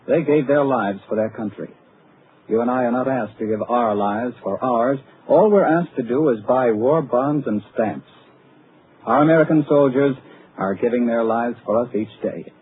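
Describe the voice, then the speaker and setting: Earnest male wartime radio appeal voice. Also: mid-range voice. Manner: earnest, rousing, persuasive. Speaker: wartime bond appeal announcer. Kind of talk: 1940s radio public appeal. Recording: tinny old radio broadcast, faint hiss.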